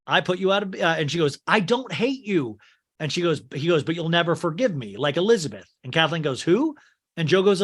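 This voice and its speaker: Bright-voiced man with a strained voice. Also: fairly high pitch. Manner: acts out quotes in shifting put-on voices. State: strained, worn voice. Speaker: podcast host. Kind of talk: recapping a film scene by scene.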